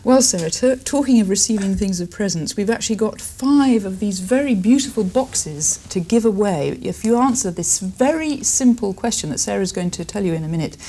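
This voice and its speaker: A woman's voice: mid-range voice, expressive, normal speed, few pauses, dark tone. A British woman presenting a television programme and interviewing a guest in conversational style. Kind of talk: studio interview and presenting to camera.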